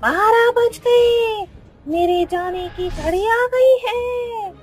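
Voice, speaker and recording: A woman voicing a fearsome wounded witch in a cartoon, drawing her words out and shouting threats. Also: extremely high pitch, faint music behind, full character voice. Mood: urgent. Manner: slow, drawn-out sing-song and shouted threats. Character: fearsome wounded female witch, cackling and menacing.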